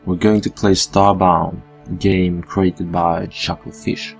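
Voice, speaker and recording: A man with a Swedish accent giving relaxed let's-play commentary, thinking aloud as he plays. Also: sits low, vocal fry, breathy edge, soft background music. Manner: relaxed, thinking aloud while playing, slow with fillers. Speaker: gameplay commentator.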